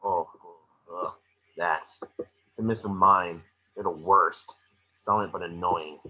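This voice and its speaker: A man's voice: sits low, steady tone, slow pace, bright, forceful tone, slightly rough, exaggerated voice. A man playing a small, cocky, wisecracking creature detective, snarky and bossy, in an amateur script reading.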